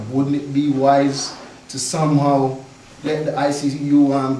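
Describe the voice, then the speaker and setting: A young man from Jamaica, raised in the United States, poses a measured question from the audience. He is heard from afar in a strongly echoing hall, with faint noise behind. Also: medium pitch, a little mellow. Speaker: audience member asking a question. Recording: echoey hall, distant mic.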